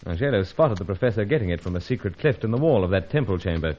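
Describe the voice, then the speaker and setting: Low animated male voice, suave British secret agent, urbane, clipped, vintage radio serial, dull recording. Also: fast talker. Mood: earnest. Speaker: hero and narrator. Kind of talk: old-time radio adventure serial acting.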